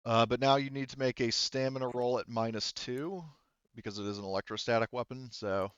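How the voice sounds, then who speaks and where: A man running an online tabletop roleplaying game as game master, talking casually and thinking aloud with hesitant pauses. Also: medium pitch, a bit bright, clear, resonant tone, dry recording, dull audio.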